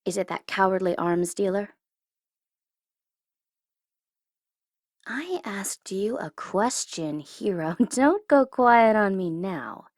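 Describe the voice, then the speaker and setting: A woman playing a teasing tsundere supervillain in an ASMR audio roleplay, condescending but easily flustered, sometimes slipping into soft whispers. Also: medium pitch, animated, unhurried, no echo, studio quality, close to an everyday voice. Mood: menacing questioning. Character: teasing, tsundere female supervillain flustered by her captive hero.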